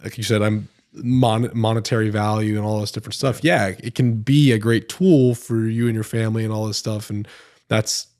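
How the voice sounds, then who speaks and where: A young man in a podcast chat, telling a long story in a rambling, animated way with lots of filler words. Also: fairly low pitch, normal speed, no echo, clean studio sound. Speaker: podcast guest. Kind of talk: casual storytelling.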